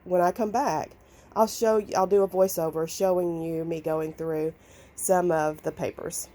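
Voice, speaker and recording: A woman with a raspy, nasal, hoarse voice, talking through her crafting clean-out in an unhurried, thinking-aloud vlog. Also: fairly low pitch, steady tone, mellow. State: recovering from illness, hoarse. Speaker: crafting vlogger. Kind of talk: casual vlog talk and voiceover.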